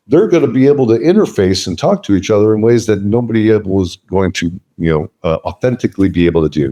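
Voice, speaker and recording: A man talking as an interview guest, emphatic and blunt, swearing freely in casual talk. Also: on the low side. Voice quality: loud voice. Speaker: podcast guest, veteran business leader.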